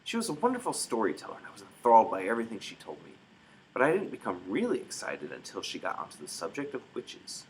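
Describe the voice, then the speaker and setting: A man reading a story aloud in expressive narration, with dramatic emphasis. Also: lowish voice, fast talker, a little hiss or hum, poor audio. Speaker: storybook reader.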